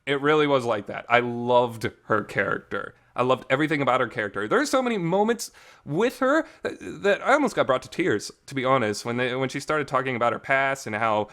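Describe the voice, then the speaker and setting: A man's voice: medium pitch, animated, a little fast, fairly bright tone. A man hosting a casual talk show, giving an enthusiastic, informal and emphatic fan review with some reverb on his voice. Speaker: host of a visual novel talk show. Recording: reverberant voice recording.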